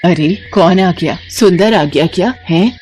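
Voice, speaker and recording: A man's voice: sits high, a little slow, a little mellow, soft background music. A man voicing a weary, scolding elderly village mother-in-law in a Hindi cartoon story, exasperated and chiding. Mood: scolding, surprised.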